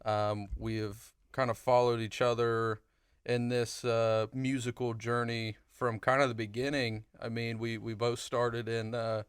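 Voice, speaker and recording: A young man hosts a podcast in easy, profane chat that jumps into loud excitement. His voice is smooth and clean, at a middle pitch. The studio mic sound is close and dry. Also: a little slow, dry recording. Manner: energetic, swearing, bursts into loud laughter. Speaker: podcast co-host. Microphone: studio microphone.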